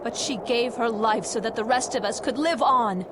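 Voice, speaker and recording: A woman's voice: on the high side, normal speed, noisy background, lightly acted. A woman playing a grieving, defiant warrior in a video game cutscene, solemn and bitter.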